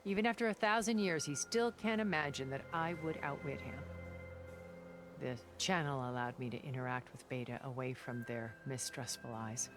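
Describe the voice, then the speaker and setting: An actress voicing a cultured, centuries-old woman recluse in a video game cutscene, speaking in a calm, deliberate and elegant way. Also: very deep voice. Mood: measured. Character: cultured, ancient woman recluse, measured and regretful. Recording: game cutscene with music underneath.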